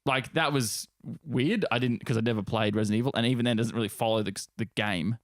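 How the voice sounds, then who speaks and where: A man's voice: medium pitch, fast talker, dry recording, clean studio sound. A man co-hosting a podcast in a casual, blunt, sweary way. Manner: blunt, profane. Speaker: podcast co-host.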